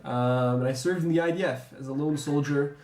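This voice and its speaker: A young American man who served as a soldier, speaking in a measured, reflective way with some ums. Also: a little high, a little mellow, echoey room, slight background noise. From United States. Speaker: former soldier interviewee. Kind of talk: earnest interview testimony.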